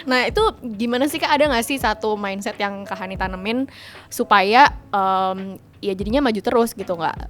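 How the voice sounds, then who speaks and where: A woman's voice: medium pitch, moderate pace. A young woman with an urban Jakarta accent hosting a casual interview in a chatty, informal way, mixing in English words. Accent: urban Jakarta Indonesian. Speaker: host.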